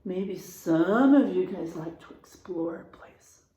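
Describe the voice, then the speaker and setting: A woman teacher reading a children's storybook aloud in an expressive way, adding explanations and checking in with her young listeners. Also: lowish voice, slow pace. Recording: roomy, echoing room sound.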